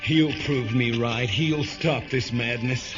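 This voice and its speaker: A man's voice: medium pitch, takes it slowly, lightly acted. Actor playing a desperate hunted man, music behind. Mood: anxious hope. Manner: urgent, agitated. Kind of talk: science fiction radio drama acting. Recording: dull radio drama mix with music.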